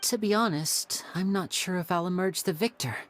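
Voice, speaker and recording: A woman voicing a suave, composed young male stage magician for a video game, with a smooth, theatrical showman's delivery. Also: fairly low pitch, normal speed, natural voice. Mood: serious, determined. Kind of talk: English video game voice acting.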